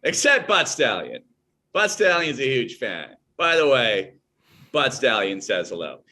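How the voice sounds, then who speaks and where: A man's voice: mid-range voice, big pitch swings, measured pace, bright, forceful tone. A man playing a smug, childish, boastful corporate villain from a video game with a mocking manner. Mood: smug mockery. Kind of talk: re-enacting video game lines.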